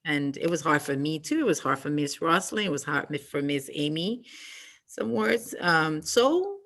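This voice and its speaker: Woman doing storytime for little kids, bright lowish voice, lots of questions to them. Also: very animated, normal speed. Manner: warm, encouraging questions to kids, with ums and restarts. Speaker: storytime reader for children. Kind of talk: reading aloud and talking to young children.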